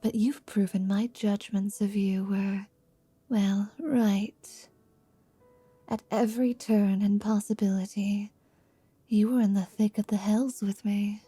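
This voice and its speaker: Woman acting a dark, whispery, seductive half-vampire woman. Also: mid-range voice, fairly even intonation, slow pace, dry recording. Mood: tender. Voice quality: soft, breathy whisper. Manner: whispery, teasing, languid. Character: confident, teasing, seductive half-vampire woman wizard, haughty yet affectionate. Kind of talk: intimate ASMR roleplay.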